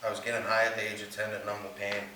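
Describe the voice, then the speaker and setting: A man's voice: lowish voice, monotone, very fast, pushed and bright. A man giving a rehearsed dramatic reading of his life story as a stage monologue. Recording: very echoey room, distant mic, faint hiss.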